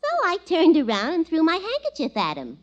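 A woman's voice: extremely high pitch, huge pitch swings, fairly quick, muffled recording, exaggerated voice. An actress playing a dizzy, man-crazy, vain young woman in a 1940s radio comedy. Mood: boastful. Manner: gushing, dim-witted, easily affronted. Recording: noisy, crackly vintage radio recording.

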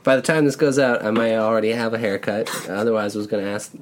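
A man's voice: fairly low pitch, fast talker, a little hiss or hum. A man co-hosting a comedy podcast, joking in casual banter and laughing often. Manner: laughs often, self-mocking. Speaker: podcast co-host.

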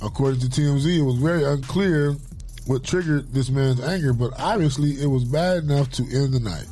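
A man's voice: medium pitch, a little fast, faint music behind. A man co-hosting a casual podcast, reading news stories aloud and adding his own asides, sitting a little off the mic. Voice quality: says he has a soft voice. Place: small studio with table mics. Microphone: sits off the mic.

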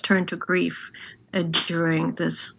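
A woman from Ukraine whose first language is Russian, speaking in a thoughtful, measured way with many pauses on a panel. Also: lowish voice, little pitch movement, vocal fry, background noise. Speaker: translator on a panel. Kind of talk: panel discussion.

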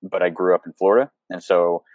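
A man's voice: sits low, fairly even intonation, fast talker, no room sound, phone-quality sound. A young man, a photographer and coach, talking casually as an interview guest over a video call, rambling with many fillers and restarts. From United States.

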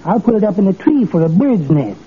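An actor playing a meek, henpecked little husband in a mild, formal, deadpan way in old-time radio comedy. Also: male voice, high-pitched, brisk. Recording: tinny vintage radio, noisy, audience behind.

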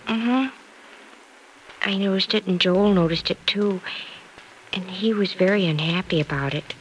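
A woman's voice: mid-range voice, measured pace, close to an everyday voice. An actress playing an earnest, love-struck, girlish young woman in an old-time radio drama, with a breathless, wistful delivery. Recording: noisy old radio recording.

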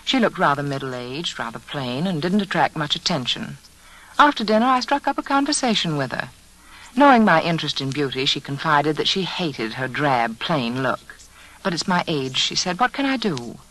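A woman's voice: deep voice, very animated, moderate pace, a bit bright, low-quality recording. An American woman reading a scripted beauty advertisement as a storyteller in 1940s radio style.

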